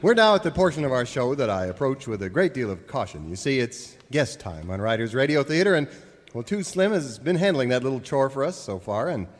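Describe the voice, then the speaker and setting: A man's voice: medium pitch, big pitch swings, fairly quick. A man hosting a comedy radio show in an announcer-like way, delivering scripted, mock-wary banter. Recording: reverberant hall, crowd, some noise.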